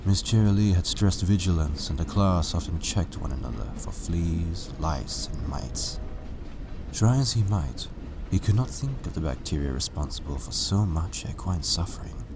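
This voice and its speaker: A man's voice: low voice, lively intonation, normal speed, noisy background. A man narrating a story as a scripted reading. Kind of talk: scripted fan fiction narration.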